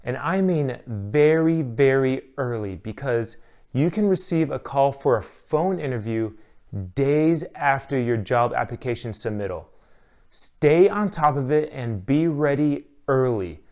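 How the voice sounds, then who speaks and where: A man narrates instructional material as a course teacher. His voice sits at a medium pitch. He speaks in a clear, measured way, giving practical advice from a prepared script. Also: lively intonation, darkish tone.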